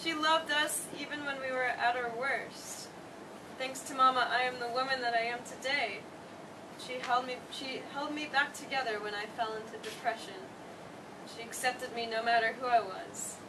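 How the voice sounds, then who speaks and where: A woman's voice: sits high, steady tone, normal speed, natural voice. A woman playing an emotional, guilt-ridden eldest daughter in a staged play reading. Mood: grieving, guilty. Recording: distant mic, noisy room.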